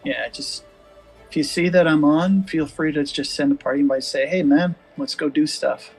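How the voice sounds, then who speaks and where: A man with a soft, gentle voice, chatting casually over voice chat with a streamer. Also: a little high, normal speed, breathy edge, faint music behind, rough recording. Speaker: guest gamer.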